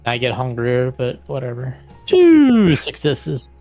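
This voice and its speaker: A man's voice: medium pitch, huge pitch swings, slow pace, warm tone, faint music behind. A man chatting casually as a player in a tabletop roleplaying game.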